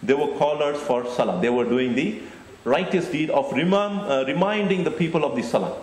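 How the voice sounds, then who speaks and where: A middle-aged man giving a deliberate, explanatory Islamic lecture into a handheld microphone, often repeating phrases. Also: on the high side, animated, a little mellow. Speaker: Islamic preacher. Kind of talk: religious lecture. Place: indoor stage with curtain backdrop. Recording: echoey room.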